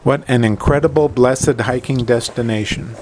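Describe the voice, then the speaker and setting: A man's voice: medium pitch, moderate pace, noisy background. A man delivering scripted travel narration as the presenter of a hiking channel. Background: background music.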